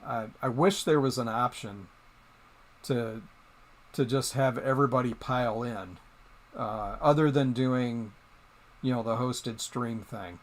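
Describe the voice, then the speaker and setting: A middle-aged man from Michigan hosting a casual live stream, rambling with plenty of pauses and restarts as he talks to viewers in chat. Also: medium pitch. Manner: rambling, hesitant, many ums and restarts.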